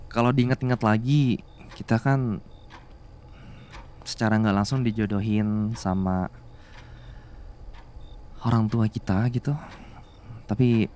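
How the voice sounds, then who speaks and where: A man playing a devoted, bashful younger boyfriend in love with his boss, speaking softly and haltingly in an intimate ASMR romance roleplay. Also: lowish voice, slight background noise. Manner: soft, halting, tender, with murmured hums.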